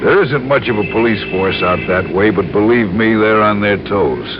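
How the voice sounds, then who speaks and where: An actor playing an earnest male insurance man who explains things deliberately and carefully in an old radio crime drama. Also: fairly low pitch, expressive, lightly acted. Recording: muffled, noisy old radio recording.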